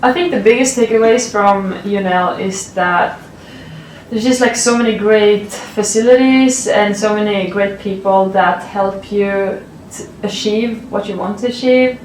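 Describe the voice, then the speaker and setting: A woman's voice: mid-range voice, a little slow, distant mic, slight background noise. Young Swedish-accented woman athlete, casual interview, mic set back in a roomy space with faint ambience. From Sweden. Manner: relaxed, many fillers and "like". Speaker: college athlete.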